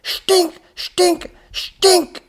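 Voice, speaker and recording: A man voicing an irascible mad professor in a children's story, exasperated, melodramatic and given to shouting. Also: medium pitch, big pitch swings, normal speed, creaky, breathy edge, pitched above the performer's own voice, exaggerated voice. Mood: enraged screaming. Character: irascible mad professor, shrieking and barking, easily enraged.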